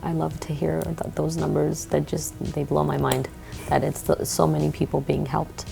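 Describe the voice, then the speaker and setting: A woman's voice: sits low, level tone, moderate pace, gravelly, music in the background. A woman hosting an interview, affirming her guests with frequent mm-hmms. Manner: warmly affirming, lots of mm-hmms. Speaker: show host.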